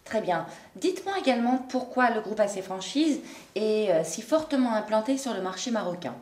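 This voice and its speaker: Woman, presenter, scripted bulletin, lively intonation, measured delivery. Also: mid-range voice. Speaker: news presenter and interviewer. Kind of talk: scripted news narration.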